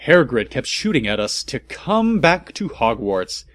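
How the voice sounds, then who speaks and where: A man's voice: mid-range voice, big pitch swings, unhurried, fairly bright tone. A man giving a comedic, theatrical and mock-serious reading of amateur fan fiction as its narrator. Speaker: narrator and commentator.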